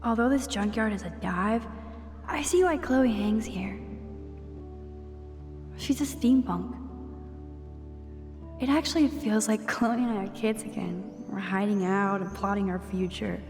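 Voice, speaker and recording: A young American woman playing an introspective, gentle teenage girl student in a video game, musing softly with wry asides. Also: medium pitch, measured pace, pauses often, natural voice. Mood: quietly happy. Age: young adult. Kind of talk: video game voice acting, inner monologue. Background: background music.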